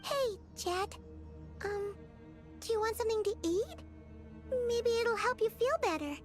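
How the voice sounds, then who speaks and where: Little fairy sidekick, babyish, squeaky, very high-pitched, sweetly concerned, game dialogue. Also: female voice, animated, a little slow, soft background music. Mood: gentle concern. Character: small cheerful childlike floating fairy companion, gently concerned. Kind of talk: video game voice acting.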